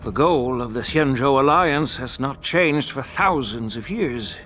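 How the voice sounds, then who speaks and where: An elderly, venerable strategist in a game story scene. He chooses his words formally and with weight, his mid-pitched voice rising and falling freely. Faint music plays behind him. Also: animated, a bit bright. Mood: grave, contemplative. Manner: formal, deliberate, weighty phrasing. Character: venerable elder statesman, measured, sagely strategist. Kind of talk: scripted video game dialogue.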